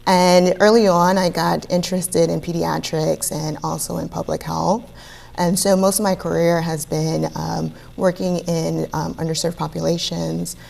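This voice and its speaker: Woman with a gravelly, creaky voice. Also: fairly low pitch, level tone, a little slow, darkish tone. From Virginia, US East Coast. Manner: casual and hesitant, with fillers. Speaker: physician, guest. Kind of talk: interview answers.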